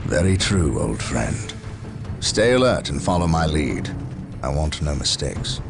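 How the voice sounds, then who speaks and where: Deep-voiced, stern space marine captain. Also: male voice, sing-song, creaky, over music, exaggerated voice. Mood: commanding. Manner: commanding, formal, measured. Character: stern, resolute male space marine captain, middle-aged veteran commander. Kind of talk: dramatic scripted game cutscene acting.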